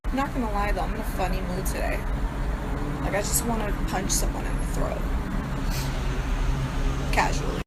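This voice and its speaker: A woman's voice: mid-range voice, moderate pace, long pauses, very noisy. A woman from New York, a native Serbo-Croatian speaker, answering fan questions in a casual, blunt and profane vlog recorded on her phone. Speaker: social media personality.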